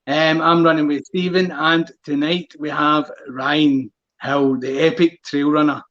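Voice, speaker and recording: Glaswegian male host, 30s, chatty and easygoing, slightly high voice, muffled lo-fi live-stream audio. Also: little pitch movement, unhurried, fairly bright tone. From Scotland. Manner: chatty, laughs easily, local words like aye and wee. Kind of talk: casual live-stream chat.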